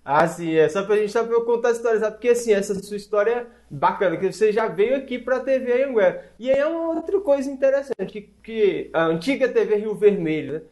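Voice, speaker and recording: A man's voice: sits high, animated, brisk, few pauses, a little mellow. A man hosting an interview, asking long, rambling questions dotted with "né". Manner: rambling, with many "né" and restarts. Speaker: interview host.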